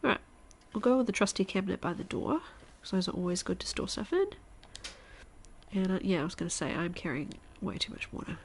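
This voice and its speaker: A congested woman streamer talks through her game in a loose, chatty way, thinking aloud and sometimes slipping into a soft whisper. Her voice sits at a middle pitch with a gravelly, creaky edge, and her nose sounds blocked. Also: expressive, brisk, a little hiss or hum. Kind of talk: casual live-stream chat while gaming.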